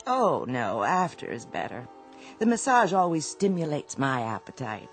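Low-voiced, doting genteel mother. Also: very animated, normal speed, faint music behind. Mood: genteel, contented. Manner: cooing, genteel endearments. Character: doting, contented mother in a too-perfect household. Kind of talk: audio drama acting.

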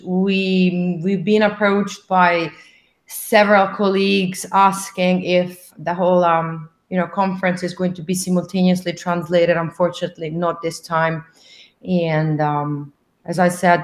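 A middle-aged woman, an English speaker, explaining things in a measured way as a guest on a video call. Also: medium pitch. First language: not English. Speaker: association president, interview guest. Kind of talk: interview talk on a video call. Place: indoor room. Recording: echoey room over video call.

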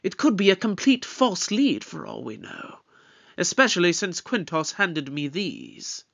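A man playing a shrewd, measured investigator in an audio drama, speaking formally and deliberately. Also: high voice, sing-song, creaky, a bit bright, heavily acted. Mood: thoughtful. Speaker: investigator questioning suspects. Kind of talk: fan audio drama acting.

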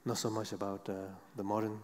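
Soft-spoken monk with halting English, hall reverb. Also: male voice, lowish voice, little pitch movement, a little hiss or hum. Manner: halting non-native English with many pauses and "you know". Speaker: Buddhist monk panelist. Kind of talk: unscripted panel talk. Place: seated on a large theater stage.

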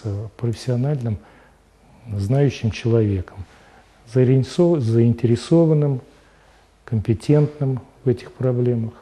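An older man recalling the past in an interview. His voice is very dark and mellow, his pace measured with small hesitant fillers. Lapel mic in a studio set. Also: mid-range voice. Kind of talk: interview recollection.

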